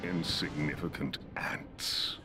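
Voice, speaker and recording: Video game warlord: theatrical, self-satisfied, slow and grand, loud music underneath. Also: male voice, rumbling low, fairly even intonation. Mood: scornful, amused. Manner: pompous, theatrical, slow and deliberate. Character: grandiose conquering male warlord savoring his own might. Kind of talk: video game cutscene acting. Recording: loud orchestral game soundtrack behind.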